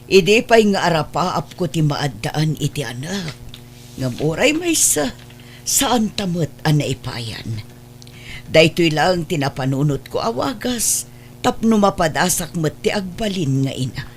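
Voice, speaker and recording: A woman's voice: low voice, sing-song, measured pace, a little hiss or hum, full character voice. A woman playing a loving, hopeful, well-off adoptive mother in an Ilocano audio drama, with an Ilocano accent. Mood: pleading, hopeful.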